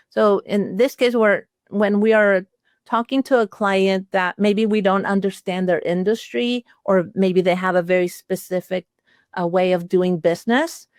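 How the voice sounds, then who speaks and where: A woman's voice: medium pitch, no room sound. Older woman from chile doing a webinar talk, chatty, dry close sound. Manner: conversational, explaining with sample phrases. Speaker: webinar presenter, retired accountant.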